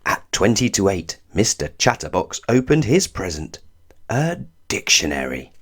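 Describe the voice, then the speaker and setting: A man reading a children's story aloud in an expressive, deliberate way, drawing out words for emphasis. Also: low voice, slightly rough. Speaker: storybook reader. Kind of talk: scripted children's story narration read aloud.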